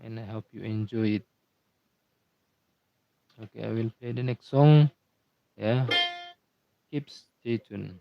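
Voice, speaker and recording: Indonesian guy on a live stream, halting english, lots of thank yous, slow gravelly voice, dull lo-fi audio. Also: on the low side, faint music behind. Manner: halting non-native English, many repeated thank-yous and fillers. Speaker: musician hosting a live stream. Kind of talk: casual live-stream chat between songs.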